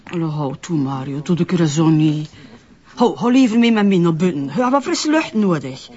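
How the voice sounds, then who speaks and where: A woman's voice: fairly low pitch, expressive, normal speed, warm tone, husky, muffled recording. A woman with a Flemish accent playing a weary, nagging partner in a radio crime drama, colloquial and pleading. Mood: pleading, exasperated.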